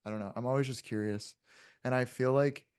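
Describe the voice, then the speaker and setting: A man's voice: medium pitch, fairly even intonation, fairly quick, a little mellow, clear, resonant tone, dry recording, clean studio sound. A man in his late twenties chatting casually as a podcast guest, reflective and hedging, swearing freely.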